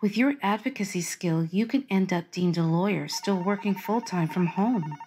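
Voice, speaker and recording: A woman's voice: mid-range voice, moderate pace, warm tone, close to an everyday voice. A woman playing a harried director of policy who answers calls from home and politely turns the caller down. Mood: officious. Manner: polite, officious.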